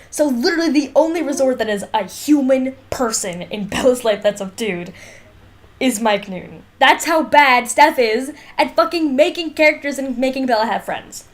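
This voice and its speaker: A woman's voice: high voice, moderate pace. A young woman co-hosting a podcast with casual banter, slangy and sweary, playing up her exasperation. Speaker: podcast co-host.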